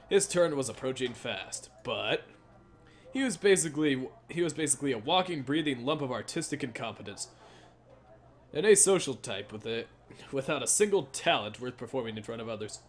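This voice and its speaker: A man's voice: mid-range voice, lively intonation, fairly quick. A man narrating a story aloud in an informal cold read, stumbling over words and correcting himself. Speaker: narrator in a fan reading of a visual novel.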